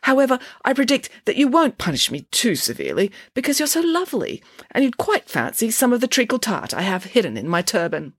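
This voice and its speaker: A wily, cheerful female storybook pig, acted out by a woman, with a breezy and ingratiating manner. The pitch swoops about in a very animated way, and the sound is close and dry. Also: brisk, husky, no room sound, exaggerated voice. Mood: polite, ingratiating.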